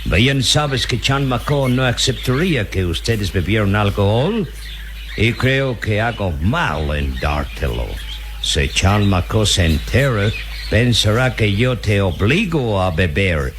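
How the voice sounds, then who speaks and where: An actor playing a cunning, unscrupulous captain in a Latin American Spanish radio serial, with a coaxing, patronizing manner and drawn-out hesitations. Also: male voice, lowish voice, lively intonation. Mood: scheming, bargaining. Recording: noisy jungle ambience with birdsong.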